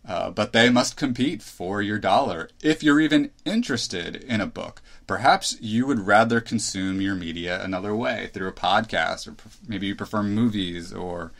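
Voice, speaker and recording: Thirtysomething guy podcasting solo, lowish gravelly voice, rambles and restarts as he thinks. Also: moderate pace, hardly any pauses, fairly bright tone. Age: 30s. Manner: musing, thinks aloud with restarts and asides. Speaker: podcast host. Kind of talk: solo opinion monologue.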